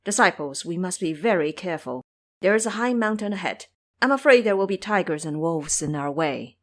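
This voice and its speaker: A woman's voice: lowish voice, lively intonation, fairly quick, close to an everyday voice. A woman voicing a timid, pious male monk master in an audio drama, solemn, careful and fretful. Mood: worried. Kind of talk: audio drama character acting.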